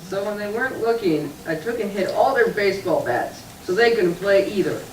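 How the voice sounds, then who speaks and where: A woman's voice: mid-range voice, expressive, fairly quick, far from the mic, natural voice. A small, sulky boy puppet who whines and sniffles through his confession, as a woman puts him on. The voice is caught from afar, ringing around an echoey room with a faint hiss underneath. It has the plain sound of a church puppet show. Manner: whiny and sulky, cries in bursts.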